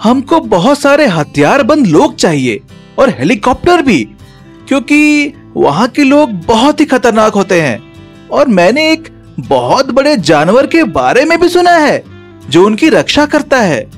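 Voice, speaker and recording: Man voicing an earnest, high-pitched male scientist. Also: big pitch swings, unhurried, soft background music, heavily acted. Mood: wary. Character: eager male scientist serving a rich boss.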